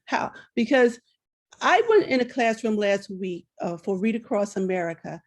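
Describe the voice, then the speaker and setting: A woman's voice: mid-range voice, expressive, moderate pace. A woman audience member commenting in an online discussion, enthusiastic and repeating words for emphasis. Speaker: audience member asking a question.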